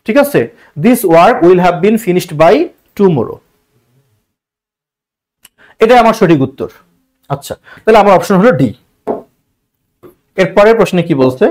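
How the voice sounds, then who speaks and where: A Bangladeshi man speaks as an online teacher in a high-pitched voice. His intonation is very lively, rising and dipping often. He explains in colloquial language, putting questions to the learner and repeating key phrases. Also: unhurried, long pauses. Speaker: online English grammar teacher. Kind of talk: exam-prep lesson, explaining multiple-choice questions.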